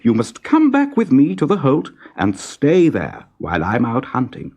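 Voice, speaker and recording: Man voicing a firm mother otter, pitched up. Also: huge pitch swings, normal speed, darkish tone, lo-fi sound. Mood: firm, instructing. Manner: firm, instructive. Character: firm, protective mother otter, scolding yet caring. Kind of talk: children's storybook character reading.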